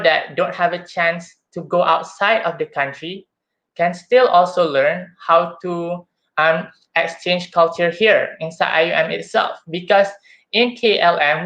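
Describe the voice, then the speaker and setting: A man's voice: a little high, a bit bright, highs cut off. A young man with a Malaysian accent giving a chatty, somewhat hesitant student campaign speech, often calling his audience guys. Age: young adult. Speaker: student election candidate.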